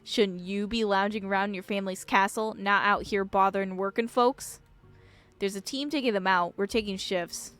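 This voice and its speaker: A woman voicing a gruff, suspicious striking miner in a visual novel, blunt and dismissive. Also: mid-range voice, fairly even intonation, normal speed, a bit bright, soft background music, natural voice. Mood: defensive.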